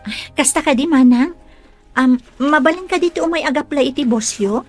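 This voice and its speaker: A woman's voice: high-pitched, brisk, soft background music, natural voice. A woman with an Ilocano accent playing a polite, earnest young caregiver applicant in a radio drama. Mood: polite, hopeful.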